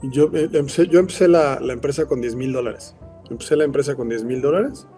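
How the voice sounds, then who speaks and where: A man's voice: mid-range voice, fairly quick, warm tone, faint music behind. Mexican man chatting with filler words. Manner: rambling, frequent "este" fillers. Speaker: brand founder, guest. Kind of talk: casual podcast interview.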